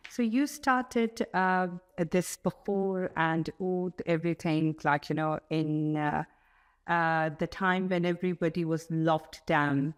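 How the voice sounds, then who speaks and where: A woman's voice: fairly low pitch, fairly even intonation, takes it slowly, clear, resonant tone. A woman hosting a podcast, asking short questions and often affirming what her guest says. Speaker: podcast host. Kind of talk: interviewing a guest.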